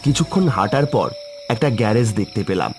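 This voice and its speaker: A man's voice: on the low side, expressive, quick pace, over music. A man narrating a scripted first-person horror story for a cartoon.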